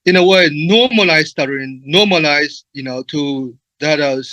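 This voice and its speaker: A man's voice: a little high, normal speed, pushed and bright, no room sound. A man moderating questions and presenting at a conference, stuttering with many fillers and repeated words. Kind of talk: online conference talk.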